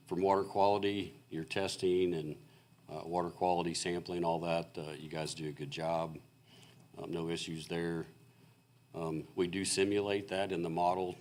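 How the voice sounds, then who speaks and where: Very low-voiced man, voice going hoarse. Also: slight background noise. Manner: halting and explanatory, many fillers and restarts. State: losing his voice. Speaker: consulting engineer presenting to a city board. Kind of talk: technical presentation at a public meeting. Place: city council meeting room.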